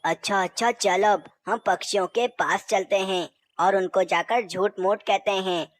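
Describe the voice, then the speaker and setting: A woman voicing a bossy elder male owl in a cartoon story, brisk and commanding. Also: medium pitch, steady tone, no room sound, close to an everyday voice.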